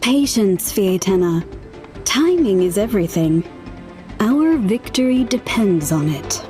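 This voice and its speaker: A woman playing a calm, patient warrior tactician in a video game story, measured and assured. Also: medium pitch. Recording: game music behind.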